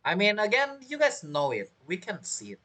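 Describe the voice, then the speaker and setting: A woman giving casual commentary as she plays a game, halting and thinking aloud as she goes. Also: medium pitch, huge pitch swings, a bit bright. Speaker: game streamer.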